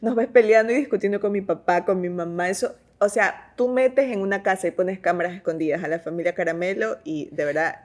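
A woman's voice: mid-range voice, fairly quick, hardly any pauses. A young woman from coastal Ecuador with an Esmeraldas accent, chatting as an interview guest, laughing often and telling stories with lots of energy. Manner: laughs often, animated storytelling. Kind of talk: casual interview chat.

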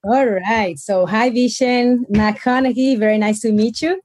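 A woman's voice: on the high side, fairly even intonation, a little slow, a little mellow. A woman from the Dominican Republic, an audience member politely and eagerly asking a question.

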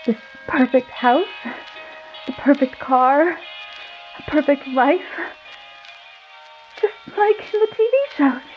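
A woman voicing a creepy, unstable housewife who speaks in a sweet, drawn-out way. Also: high voice, big pitch swings, highs cut off, faint music behind, voice pushed up, heavily acted. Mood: trembling. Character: eerie smiling housewife, sugary and unstable.

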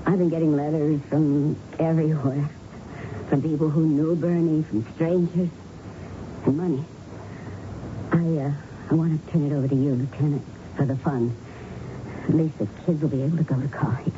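An actress playing a gentle, grateful policeman's widow in a radio drama, hesitant and sincere. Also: low-pitched, steady tone, moderate pace, pauses often, natural voice. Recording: muffled vintage radio, noise behind.

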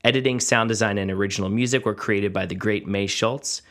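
A man's voice: on the low side, fairly even intonation, brisk, fairly bright tone. A man reading a scripted podcast introduction as the host.

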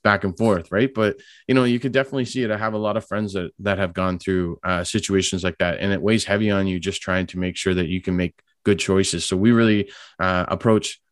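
Male host, low-pitched and relaxed, encouraging tone; dry, close recording. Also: brisk, no room sound. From Canada. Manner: easygoing, encouraging, many "you know" and "right?". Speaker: podcast host. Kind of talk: casual interview chat.

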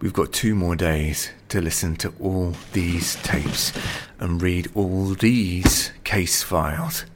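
An actor playing a tired, matter-of-fact office colleague in a naturalistic audio drama. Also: male voice, sits low, slow pace, few pauses, heavy background noise. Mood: weary.

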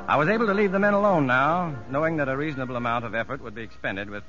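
An actor playing a firm, humane American prison doctor who also narrates, measured and educated, in 1950s radio drama on a muffled old broadcast. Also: male voice, mid-range voice, sharp tone, soft background music, close to an everyday voice. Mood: reflective.

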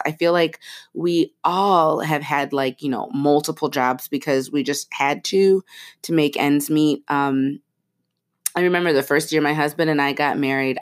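A woman in her thirties hosting a podcast with a head cold. She sounds stuffy and nasal and chats casually, with lots of fillers and restarts. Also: deep voice. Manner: chatty, many fillers and restarts. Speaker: podcast host. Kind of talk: casual chat.

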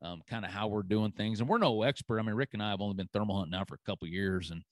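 A man's voice: on the low side, racing, dry recording, clean studio sound. A man hosting a hunting podcast, chatting casually and easygoing, with lots of "you know" fillers. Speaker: podcast host.

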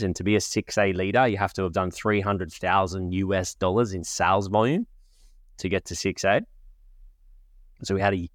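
Deep-voiced man with a cold. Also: no room sound, studio quality. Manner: rambling, conversational. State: has a cold with a cough. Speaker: podcast host. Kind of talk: casual monologue.

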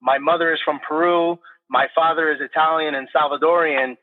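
An earnest man talks about his business as a podcast guest, dropping fillers and asking "right?" as he goes. His voice sits a little high for a man. The sound is thin and narrow, like a phone line. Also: steady tone, a little fast. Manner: earnest, speaks with many fillers and "right?" tags. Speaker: podcast guest, credit repair business owner. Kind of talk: casual interview talk.

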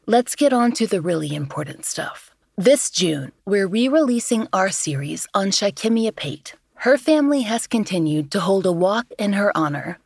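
A woman with a deeper, lower voice, hosting a podcast and giving calm, measured scripted narration. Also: vocal fry, husky, dry recording, studio quality. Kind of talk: scripted true-crime narration.

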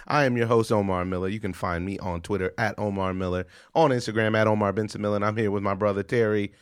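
A man's voice: lowish voice, fairly even intonation, fast, smooth. A man hosting a casual sports talk show, speaking with a head cold and riffing emphatically. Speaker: podcast host. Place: studio in Los Angeles.

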